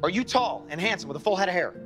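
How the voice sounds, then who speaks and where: Insistent, animated American bar guy. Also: high voice, racing. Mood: agitated. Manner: urgent, stammering insistence. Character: agitated, insistent male bar regular, earnestly over-explaining. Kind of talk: sitcom acting. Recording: TV show playback with music.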